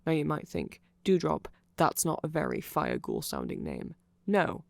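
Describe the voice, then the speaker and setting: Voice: mid-range voice, wildly swooping pitch, a little slow, creaky, dry recording. A person giving a casual, rambling ASMR explainer in a nerdy, chatty, self-correcting way, like an enthusiastic fan talking to the listener. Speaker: host explaining band lore to the listener.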